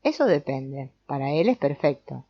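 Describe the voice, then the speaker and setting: A woman reading an audiobook as narrator in a measured, explanatory way. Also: extremely low pitch, sing-song, no room sound. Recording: dull, lo-fi sound.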